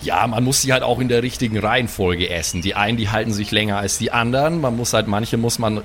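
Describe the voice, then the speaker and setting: A man's voice: fairly low pitch, fast, fairly bright tone, a little hiss or hum, natural voice. A man playing Hansen, a folksy teasing brother. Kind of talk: improvised tabletop role-play.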